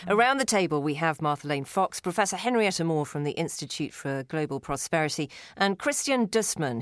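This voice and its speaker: A woman with a notably low voice moderates a panel in a brisk, friendly way. She introduces the guests and puts questions to them. The recording is dry and close. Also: dry recording. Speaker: host. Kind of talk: hosting a panel discussion.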